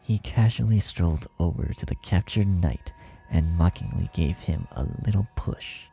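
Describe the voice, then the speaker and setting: A man's voice: deep voice, moderate pace, gravelly, mellow, husky, soft background music. A man reading a fan fiction story aloud as its narrator in a scripted style.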